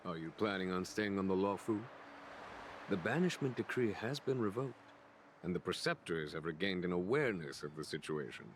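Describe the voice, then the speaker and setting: A dignified official from a video game. His voice is deep, and he speaks with formal, composed courtesy. A faint layer of noise lies behind him. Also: lively intonation, normal speed. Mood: composed, courteous. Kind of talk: scripted game voice acting.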